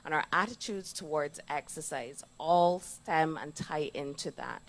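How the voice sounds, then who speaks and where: Barbadian woman explaining health matters, lowish voice. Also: a little slow. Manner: animated explaining, acts out imagined remarks, checks with "yes?". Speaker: doctor, interview guest. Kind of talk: conversational health interview.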